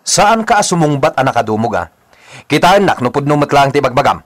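A man's voice: fairly high pitch, sing-song, brisk. An actor playing a strict, stern father in a radio drama. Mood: angry scolding. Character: strict, stern father and eldest brother, Bugoy.

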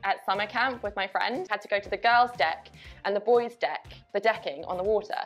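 A woman's voice: medium pitch, a little fast, faint music behind. A woman chatting casually in a studio, laughing and playfully mimicking other accents. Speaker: interview participant.

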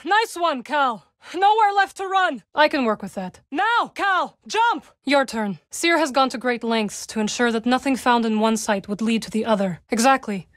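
A woman playing a solemn, guarded young witch in a video game, with dry wit and measured, formal phrasing. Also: high voice, expressive, fairly bright tone, dry recording. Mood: urgent, rousing, then calm, guarded. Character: young adult witch, solemn, guarded, dryly wry rebel. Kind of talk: video game character dialogue.

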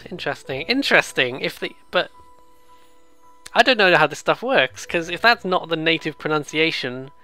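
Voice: mid-range voice, sharp tone, clear, resonant tone. A let's play host commenting casually on a game, rambling and joking at their own expense while reading bits aloud. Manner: rambling, jokey, self-deprecating.

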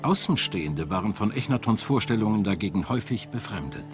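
Expressive male documentary narrator. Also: on the low side, a little fast. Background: background music.

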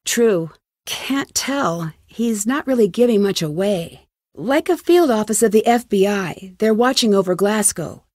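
A woman voicing a young amateur detective in a video game, inquisitive and determined, with a clear, earnest, questioning delivery. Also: mid-range voice, lively intonation, unhurried, darkish tone, no echo, studio quality. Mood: wry, casual. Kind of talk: scripted game dialogue, isolated lines.

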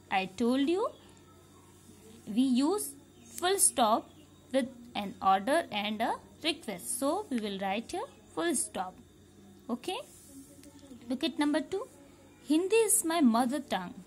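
Woman teacher, Indian English accent, high lively voice, unhurried recorded classroom lesson. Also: slight background noise. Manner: slow, repetitive, patient explaining, checking understanding. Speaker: schoolteacher. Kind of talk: recorded grammar lesson for young pupils. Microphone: phone camera held over a workbook.

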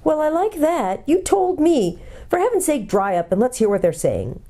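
A woman's voice: sits high, big pitch swings, moderate pace, dark tone, pitched above the performer's own voice. A woman voicing an urgent, bossy young girl who pleads insistently and gets exasperated. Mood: indignant.

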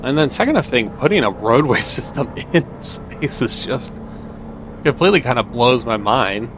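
A man with a mid-pitched voice talks casually through a video game. He thinks out loud and puts questions to himself as he goes. Clear background noise, like vehicle ambience from the game, sits behind him. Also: lively intonation, normal speed. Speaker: gamer playing and commenting on a video game. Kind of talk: casual gameplay commentary.

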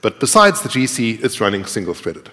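A man's voice: fairly low pitch, very animated, a little fast, pushed and bright. A middle-aged man giving a live coding talk, explaining step by step in a conversational way and putting questions to his audience. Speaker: conference speaker and programming instructor. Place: large tiered lecture auditorium, indoors. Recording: reverberant lecture hall echo.

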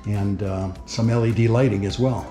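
A man with a fairly low, creaky voice gives an informative house tour. He talks plainly, like a builder going through features. The sound is echoey, with music playing underneath. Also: steady tone, normal speed, dark tone. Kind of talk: explanatory house tour narration. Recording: roomy indoor echo with music behind. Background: background music.

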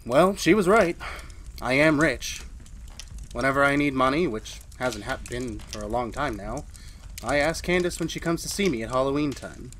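A man's voice: mid-range voice, expressive, normal speed, a bit bright, close to an everyday voice. An actor playing a haunted, brooding man who confides like a narrator in a retro radio drama. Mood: resigned. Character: haunted husband confessing a murder plan, uneasy academic. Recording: faint background noise.

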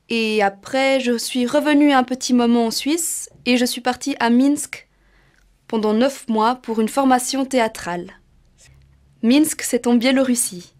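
A woman's voice: a little high, a little mellow. A woman in her twenties from Switzerland talking informally to camera about herself. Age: 20s. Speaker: drama student presenting herself. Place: indoor studio.

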